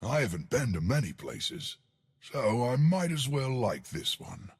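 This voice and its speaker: An actor playing a huge, ancient living stone colossus for a video game, with a gravelly, husky voice and hearty, simple-minded bravado. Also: male voice, mid-range voice, big pitch swings, measured pace, exaggerated voice. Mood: proud, amiable. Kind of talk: video game character voice lines.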